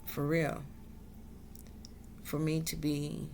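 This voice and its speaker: Slow gravelly-voiced woman, music behind. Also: sits low, completely flat delivery, a little mellow, slight background noise. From South Carolina, US. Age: adult. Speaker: vlogger. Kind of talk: slow reflective monologue.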